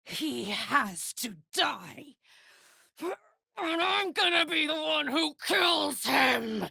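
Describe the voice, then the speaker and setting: A battle-hungry video game warrior woman with a big heart and a salty, informal tongue. Her delivery is unhurried and emphatic, swinging widely in pitch, in a very dry studio sound. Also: lively intonation, creaky, sharp tone, no room sound, heavily acted. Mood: defiant, furious. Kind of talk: video game character voice lines.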